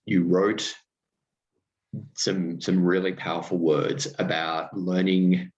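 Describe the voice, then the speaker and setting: A man with an Australian accent hosting a casual interview, talking haltingly with many fillers and restarts, laughing easily. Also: deep voice, level tone, darkish tone, highs cut off. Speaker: podcast host.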